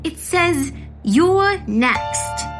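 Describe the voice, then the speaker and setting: A woman playing a blunt, deadpan policewoman in a comic audio drama. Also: very high voice, drawn-out words, faint music behind, heavily acted. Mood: dry, blunt.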